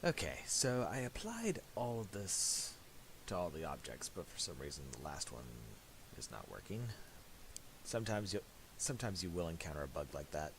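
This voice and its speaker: A man presenting a casual software tutorial in a halting, mumbled way, on a hissy home recording with clicks. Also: sits low, wildly swooping pitch.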